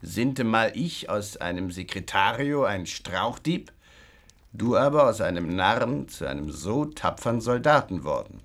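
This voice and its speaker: A swaggering highwayman in a radio drama. He is cynical and emphatic, speaking slowly in a slightly low voice that swings widely in pitch. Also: very animated, a bit bright, exaggerated voice. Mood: bragging, familiar. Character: boastful, cynical highwayman, rough former secretary turned robber.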